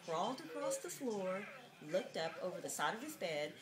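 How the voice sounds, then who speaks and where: A woman's voice: mid-range voice, sing-song, moderate pace, over music. A woman giving a mock-dramatic reading of a children's picture book, with jokey, sardonic, giggly asides, on an amateur home video.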